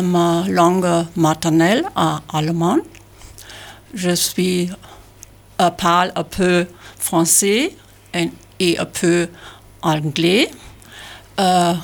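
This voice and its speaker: A woman from Germany with a German accent, speaking careful, halting French as a learner. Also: on the low side, creaky. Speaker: language learner.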